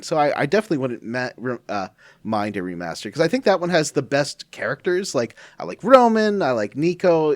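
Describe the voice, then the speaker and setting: A man's voice: medium pitch, dry recording. A man co-hosting a casual gaming podcast, making wry remarks and often trailing off mid-sentence. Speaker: podcast co-host. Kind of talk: casual chat.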